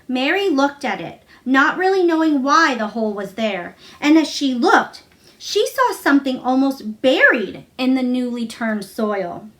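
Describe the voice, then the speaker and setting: A woman's voice: high-pitched, lively intonation, unhurried, fairly bright tone. A woman narrating a children's novel aloud as a storybook reader.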